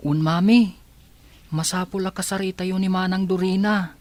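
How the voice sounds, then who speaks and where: A young man with an Ilocano accent playing a caring son who speaks softly and with concern. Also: high voice, steady tone, moderate pace, no echo, lightly acted. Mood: earnest. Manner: soft, concerned. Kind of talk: Ilocano audio drama.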